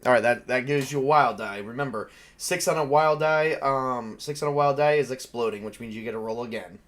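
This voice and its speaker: A man's voice: mid-range voice, fairly quick, fairly bright tone. Casual man running a tabletop game. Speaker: game master.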